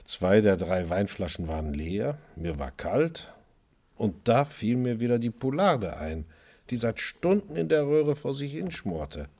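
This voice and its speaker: An actor playing a wry, stubborn chef who narrates his own radio crime drama in a husky voice. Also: on the low side, big pitch swings, unhurried, mellow, full character voice. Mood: wry, relaxed narration. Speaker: lead actor and first-person narrator.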